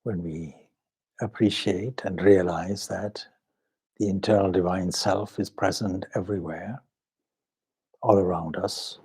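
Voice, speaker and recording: A man leading a guided meditation as a swami, speaking solemnly and measuredly in short phrases with long pauses. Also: deep voice, fairly even intonation, a little mellow, breathy edge. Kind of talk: spiritual talk and guided meditation.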